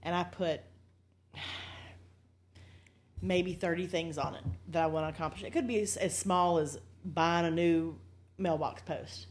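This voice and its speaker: A woman's voice: fairly low pitch, steady tone, measured pace, long pauses, slight background noise. A woman in her forties talking candidly and casually as a podcast guest, often poking fun at herself. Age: 40s. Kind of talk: casual chat.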